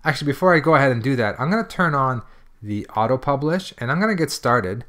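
A man with a mid-pitched voice presents a software walkthrough. He talks informally, like a screencast tutor, and explains each step in turn as he goes. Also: expressive, fast talker. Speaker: software demonstrator.

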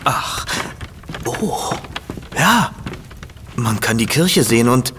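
An actor playing a detective's flustered, easily amazed sidekick in a German audio drama, sheepish and exclamatory. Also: male voice, mid-range voice, animated, slow, background noise. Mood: surprised.

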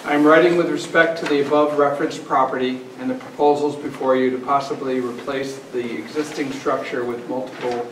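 A man's voice: mid-range voice, fairly even intonation, fairly quick, few pauses, recorded from a distance. Guy reading a formal letter at a town meeting, stumbles a bit, echoey room, some noise. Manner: stumbles and repeats phrases as he reads. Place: large indoor municipal meeting room. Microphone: far camera microphone.

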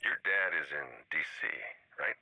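An actor playing a middle-aged, calculating rogue agent with a raspy voice and a sly, needling manner over game comms. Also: male voice, rumbling low, unhurried, pushed and bright, no room sound, exaggerated voice. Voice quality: gravelly, raspy. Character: calculating, teasing male rogue agent plotter. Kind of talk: naturalistic video game dialogue.

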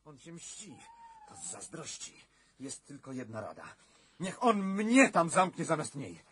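A man's voice: a little high, huge pitch swings, measured pace, fairly bright tone, breathy edge, full character voice. An actor playing an impulsive, stubbornly brave young man in love in a radio drama, urgent and insistent. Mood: anxious. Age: young adult.

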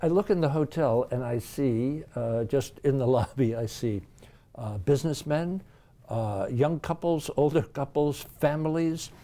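A man's voice: mid-range voice, measured pace, warm tone. An older man hosting, asking curious, conversational interview questions in a hotel lounge. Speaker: host.

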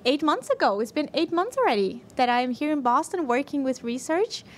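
Female podcast guest, 20s, high voice, playful, audible background noise. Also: big pitch swings, a little fast. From Brazil. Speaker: podcast guest, physician and researcher. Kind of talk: casual podcast chat. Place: podcast studio, indoors. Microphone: desk microphone on boom arm.

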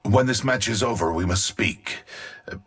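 Casting: stern male scientist for a game, low, heavily husky, formal and halting. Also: a bit bright. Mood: grave, guarded. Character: stiff, formal, gravely serious scientist. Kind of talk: video game character voice lines.

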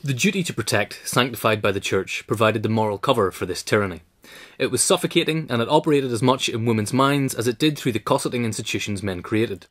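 A man narrating a scripted essay as its reader. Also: mid-range voice.

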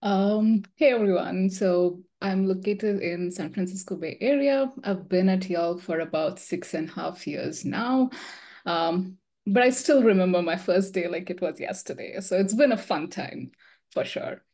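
A woman's voice: lowish voice, unhurried, mellow. Easygoing woman introducing herself. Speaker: panelist, engineering manager. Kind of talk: casual introduction.

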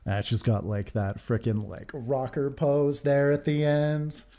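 A man's voice: mid-range voice, flat delivery, measured pace, warm tone, faint music behind. A man, giving casual, enthusiastic, sweary commentary as a reaction video host. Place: small room at a desk, indoors. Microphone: large desk microphone, close.

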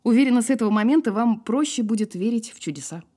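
Sardonic woman in a radio play, dark mellow voice, guarded and wry, unhurried comebacks. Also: on the high side, animated. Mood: cool, teasing. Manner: dry, sardonic, unhurried sparring. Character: wry, guarded woman who survived a fall. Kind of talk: naturalistic audio drama acting.

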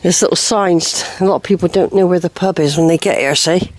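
A woman's voice: lowish voice, brisk. A woman with an English accent gives casual, unscripted commentary while filming a walk outdoors, rambling and correcting herself as she goes. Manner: chatty, rambling, self-correcting. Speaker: walker filming a hike vlog. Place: outdoors on a village lane. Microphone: handheld camera or phone mic. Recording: handheld outdoor recording, faint noise, clicks.

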